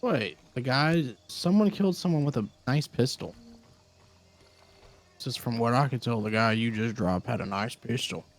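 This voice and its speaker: A hoarse man who is losing his voice, chatting with teammates during a shooter game, halting and restarting his sentences, over a headset mic. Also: mid-range voice, faint music behind. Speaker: gaming teammate. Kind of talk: casual gaming chat.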